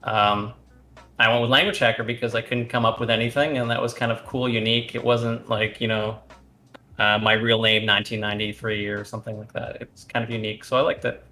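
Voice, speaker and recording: Flat-voiced man in an echoey room. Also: on the low side, brisk. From Romania. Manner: rambling, with many fillers and restarts. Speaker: guest, competitive card game player. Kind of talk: casual interview answers.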